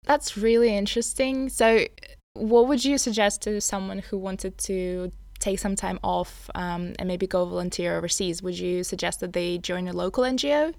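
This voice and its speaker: A woman's voice: mid-range voice, steady tone, moderate pace, creaky, a little mellow, dry recording. A woman interviewer who asks questions and affirms her guest's answers attentively.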